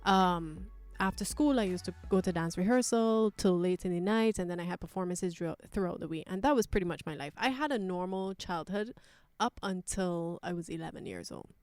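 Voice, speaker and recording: A woman's voice: on the low side, brisk, few pauses, a little mellow, no room sound, faint music behind. A woman from Barbados, talking candidly and thoughtfully as a guest in a personal interview. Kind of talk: reflective personal conversation.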